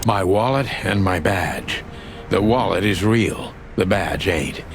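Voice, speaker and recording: A man playing a world-weary ageing rooster detective, wry and deadpan, narrating a noir game. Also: deep voice, slow pace, exaggerated voice. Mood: weary, brooding. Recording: music behind the voice. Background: music.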